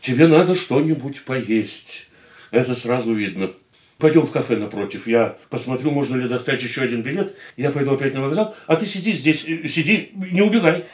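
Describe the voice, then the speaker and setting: An actor playing a gentle, devoted man in a radio drama, speaking in a soothing, tender way. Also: medium pitch, fairly quick, reverberant, lightly acted.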